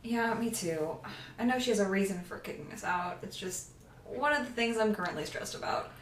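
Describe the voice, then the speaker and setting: An actress as a guarded young woman in an audio drama, blunt and dryly understated, a little halting. She sounds set back from the mic in a roomy space. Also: mid-range voice, expressive, lightly acted. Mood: dry, uneasy. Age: young adult. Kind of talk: naturalistic audio drama dialogue. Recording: roomy, distant mic, faint noise.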